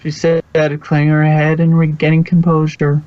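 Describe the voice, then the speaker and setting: A man's voice: a little high, monotone, normal speed, no room sound, highs cut off. A man reading scripted storybook narration for a fan fiction audio production.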